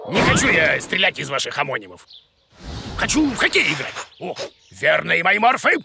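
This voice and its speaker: An actor playing a boastful, clueless bearded creature teacher in a children's cartoon, exclaiming theatrically and self-importantly. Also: male voice, on the high side, sing-song, moderate pace, creaky, background noise. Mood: huffy, stubborn. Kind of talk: animated children's cartoon voice acting.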